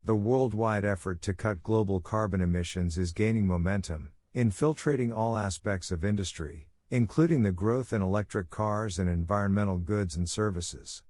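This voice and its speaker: A man with a low-set voice narrates scripted exam practice text. His delivery is formal and measured. The sound is dry, with hardly any room reflection. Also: darkish tone, dry recording. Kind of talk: scripted read-aloud narration.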